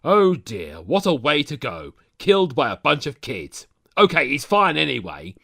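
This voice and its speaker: A man's voice: a little high, wildly swooping pitch, moderate pace, gravelly, a bit bright, slight background noise. A man narrating a scripted comic story recap, dropping sardonic asides to the listener. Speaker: narrator of a fan audio story.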